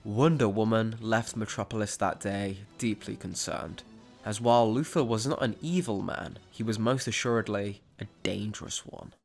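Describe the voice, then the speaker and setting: A man's voice: on the low side, normal speed, creaky, darkish tone. Male story narrator over music. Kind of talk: scripted dramatic story narration.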